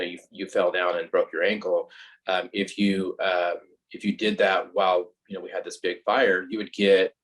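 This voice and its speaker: A man from California, a fire captain giving an informal, halting, conversational explanation as guest speaker on a video call. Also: sits low, poor audio. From California, United States.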